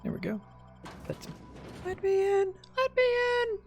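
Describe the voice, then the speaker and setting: A man's voice: very high-pitched, sing-song, a little slow. A man giving casual, playful, rambling gameplay commentary as a streamer. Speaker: gaming streamer. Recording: music behind the voice. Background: music.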